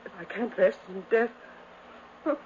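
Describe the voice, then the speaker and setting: An actress playing a dying, delirious twin sister, intense and pleading in 1950s radio drama style. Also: female voice, mid-range voice, unhurried, a little mellow, lightly acted. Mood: desperate. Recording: muffled, hissy 1950s radio recording.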